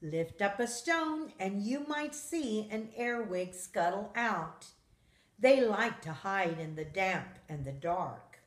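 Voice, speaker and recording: Slow-reading woman in an echoey room. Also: medium pitch. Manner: measured, expressive storytelling for children. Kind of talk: children's nonfiction read-aloud.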